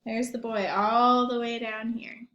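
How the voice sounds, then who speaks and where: A woman teacher reading aloud and talking to young children in an expressive storytelling manner, with questions and asides. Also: a little high, a little slow, echoey room, lo-fi sound. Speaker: primary school teacher reading aloud to pupils.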